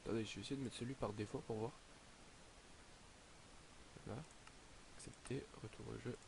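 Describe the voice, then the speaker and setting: A teenage boy narrating his game in a casual, hesitant way, filling pauses with "donc, euh" and sounding slightly stuffy from a cold. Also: on the low side, steady tone, gravelly, noisy background. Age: teens. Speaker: gaming YouTuber. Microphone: headset.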